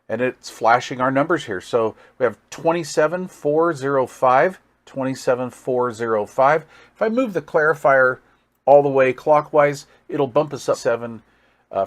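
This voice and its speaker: A man giving a casual hands-on product demonstration, thinking aloud with some hesitation as he goes. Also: medium pitch. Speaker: radio review host.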